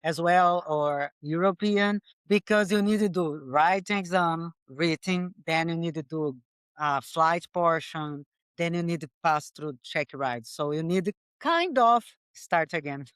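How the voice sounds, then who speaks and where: A woman's voice: lowish voice, unhurried, clear, resonant tone, dry recording. Brazilian woman pilot, dry sound. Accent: Brazilian Portuguese. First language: Portuguese. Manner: earnest, non-native phrasing, laughs often. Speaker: commercial pilot guest. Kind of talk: casual interview conversation.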